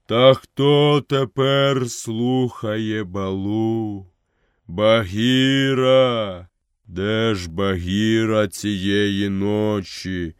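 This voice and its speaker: A man voicing an old, weary, grave bear who talks very slowly and heavily in a storybook reading. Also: lowish voice, smooth, no echo, clean studio sound. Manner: very slow, heavy, drawn-out. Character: old half-blind male bear, slow, grave, weary teacher.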